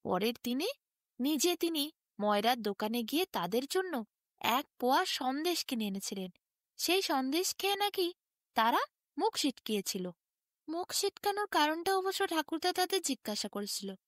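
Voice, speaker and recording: A woman's voice: high voice, big pitch swings, normal speed, a bit bright, dry recording, clean studio sound. A woman, narrating a bedtime story expressively as a storyteller.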